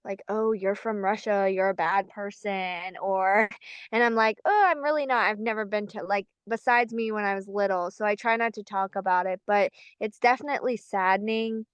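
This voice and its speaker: A young woman with an American accent talks casually as a podcast guest. Her voice is clear and smooth at an average pitch, recorded very dry. She speaks in a halting, informal way, leaning on fillers. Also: steady tone, no echo. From United States, Southern Maryland from age four. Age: young adult. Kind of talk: casual personal interview.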